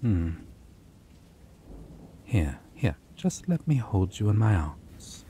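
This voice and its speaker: A man voicing a possessive, menacing male vampire in an ASMR roleplay, talking low and teasing and dropping to whispers. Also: big pitch swings, takes it slowly, dark tone, a little hiss or hum. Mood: soothing.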